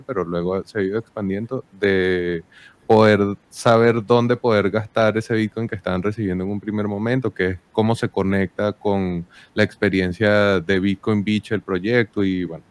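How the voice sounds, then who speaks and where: Man, host, lowish voice, talkative, winding questions. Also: no room sound. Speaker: interviewer, host. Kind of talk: casual interview.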